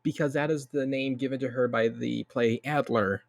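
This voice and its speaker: A man's voice: medium pitch, steady tone, normal speed, vocal fry, no room sound. A man on a podcast panel, talking casually with friends. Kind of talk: casual group chat.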